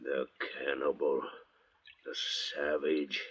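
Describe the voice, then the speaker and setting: An actor playing a bitter, suspicious convict thief in an old radio drama, husky and sneering. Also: male voice, rumbling low, level tone, takes it slowly, full character voice. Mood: resentful. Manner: sneering, surly. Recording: dull old radio recording.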